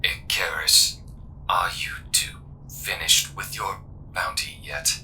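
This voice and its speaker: A man playing a grim, terse scout defending a frontier space town in an audio drama, speaking gravely and deliberately in a breathy half-whisper over a noisy comms call. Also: sits low, steady tone, bright, forceful tone, full character voice. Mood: grim, urgent.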